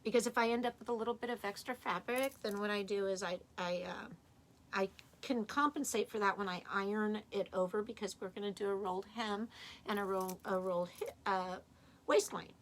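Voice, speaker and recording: A woman teaching a live sewing tutorial in a chatty, hands-on way, her voice slightly raspy and tired as she works. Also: mid-range voice, steady tone, a little fast. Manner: chatty, talks while working, restarts often. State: tired, a little hoarse. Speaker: sewing instructor.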